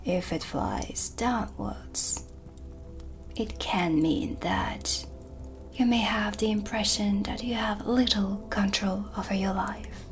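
A woman playing a brooding, blunt dark witch in an ASMR roleplay, speaking in a breathy, deliberate and ominous way with pauses. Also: medium pitch. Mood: grave, explaining. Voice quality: breathy whisper. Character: dark witch, brooding, blunt, mysterious tea-reading hostess. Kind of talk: soft-spoken ASMR cinematic roleplay. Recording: background music under close whisper. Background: ambient music.

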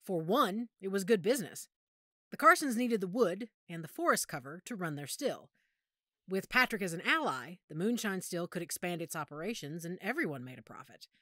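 A woman playing a knowledgeable werewolf pack member in an audio drama, speaking in a measured, authoritative, explanatory way. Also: on the low side, very animated, pushed and bright, dry recording, studio quality. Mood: informative, assured. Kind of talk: audio roleplay drama acting.

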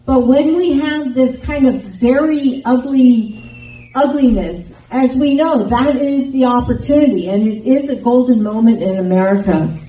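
A woman's voice: high-pitched, unhurried, dark tone. An older woman who grew up in Taiwan with Chinese as her first language, giving an earnest, rambling campaign speech into a handheld microphone in an indoor meeting room. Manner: earnest, rambling with frequent restarts. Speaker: congressional candidate. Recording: roomy sound from a distant camera.

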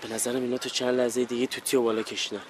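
A man's voice: medium pitch, level tone, very noisy, natural voice. A man playing a boastful, self-pitying character who talks theatrically, with mock praise and sulky complaints.

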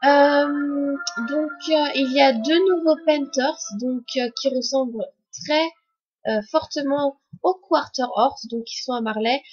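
A woman's voice: high-pitched, fairly even intonation, measured pace, dull audio, faint music behind. A young woman with a cold commenting on a game update in a chatty, hesitant way full of fillers. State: has a cold. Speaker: gaming YouTuber.

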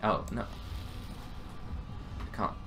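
A young man giving casual, exclamatory gameplay commentary, swearing and repeating short phrases. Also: takes it slowly. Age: young adult. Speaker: gamer, let's play host. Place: small indoor room. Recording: clear game noise behind. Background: horror game ambience.

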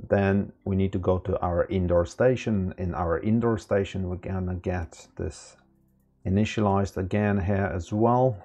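Low gravelly man walking through a setup. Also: unhurried, soft background music. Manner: relaxed, step-by-step with many fillers. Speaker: tech tutorial presenter. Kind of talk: casual screen-recorded tutorial. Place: small room indoors.

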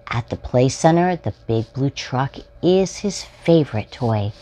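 A woman's voice: rumbling low, a little slow. A woman in her forties reading a children's story aloud expressively, as a storytime host. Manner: expressive, addressing young children. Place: small furnished room at home, indoors.

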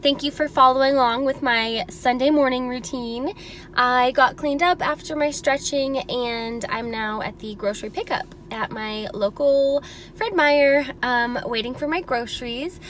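A woman's voice: high voice, unhurried, fairly bright tone, slight background noise. A woman in her thirties from Oregon, chatting upbeat and direct to her viewers as she vlogs her weekend routine. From Oregon, USA. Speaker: lifestyle vlogger. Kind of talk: casual vlog narration. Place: parked car, then a home kitchen. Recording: music playing under the voice. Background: background music.

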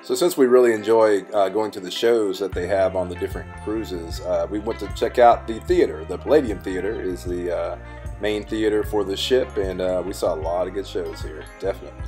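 A man's voice: low-pitched, brisk, a little mellow, soft background music. A middle-aged man vlogging a cruise ship tour, talking in an easygoing, agreeable way and often restarting his sentences. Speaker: travel vlogger. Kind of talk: casual travel vlog chat. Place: aboard a cruise ship, outdoor deck and corridors. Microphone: handheld camera at arm's length.

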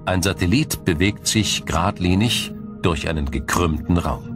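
A man with a low voice delivers scripted documentary narration. He explains in a measured, formal way, sentence by careful sentence, over faint background music.

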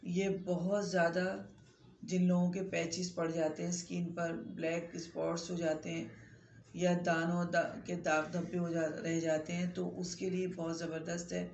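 A middle-aged woman doctor talks straight to a close phone camera, her voice hoarse from a sore throat and gravelly with fry. She explains remedies in a chatty, instructive way and holds up the products she means. The small clinic room lined with shelves sounds echoey, with a faint hiss underneath. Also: on the low side, little pitch movement, normal speed, darkish tone. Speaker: doctor giving skin-care advice. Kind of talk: direct-to-camera health advice talk.

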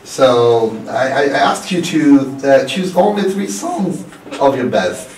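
A man's voice: medium pitch, very animated, slow, a little mellow. East African male host, roomy sound. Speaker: radio host, interviewer. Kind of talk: casual radio interview. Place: small radio studio. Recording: roomy, distant, faint room noise.

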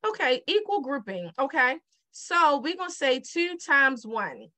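Baltimore lady teaching times tables, kinda high voice, chatty, keeps asking the kids questions. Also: big pitch swings, unhurried, pushed and bright. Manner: chatty, repeats questions to the viewer, digresses then refocuses. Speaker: math teacher. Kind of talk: casual whiteboard math lesson.

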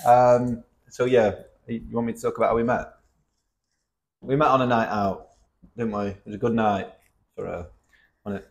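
A man's voice: medium pitch, steady tone, moderate pace, vocal fry, long pauses, a little mellow. A man from Cheshire with a North West English accent, hosting a casual podcast chat with teasing banter and lots of tag questions. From Cheshire, England. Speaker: podcast host.

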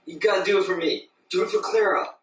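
A man's voice: high voice, fast talker, heavy background noise, close to an everyday voice. A man acting as a worn-down young husband, pleading and exasperated in a dramatic domestic argument. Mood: weary pleading. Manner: pleading, strained, accusing. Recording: very echoey room, far from mic.

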